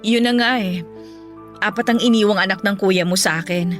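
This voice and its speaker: A woman's voice: medium pitch, normal speed, fairly bright tone, lightly acted. An actress playing a grieving young widowed mother, plaintive and emotional, in a Filipino radio love-story drama. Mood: sorrowful, anxious. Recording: music bed under the voice.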